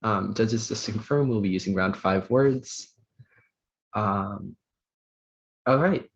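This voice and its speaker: A man serving as pronouncer in an online spelling bee, reading words and definitions in a measured, formal way. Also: medium pitch. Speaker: word pronouncer. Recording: dull lo-fi call audio.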